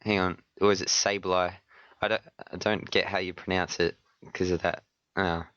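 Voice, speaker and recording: A man co-hosting a casual podcast chat, sick and partly hoarse, talking with hesitant, stammering restarts. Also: sits low, level tone, moderate pace, fairly bright tone, dry recording, lo-fi sound. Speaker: podcast co-host.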